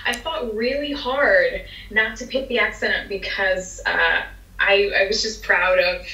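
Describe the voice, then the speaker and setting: A young woman from Philadelphia with a softened American accent, chatting upbeat as an interview guest. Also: medium pitch, unhurried. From Philadelphia, USA. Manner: chatty and upbeat, gestures while talking. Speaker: singer-songwriter guest. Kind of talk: casual interview chat. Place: indoor room at home. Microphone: wireless earbuds on a video call. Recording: roomy, distant, dull video-call audio.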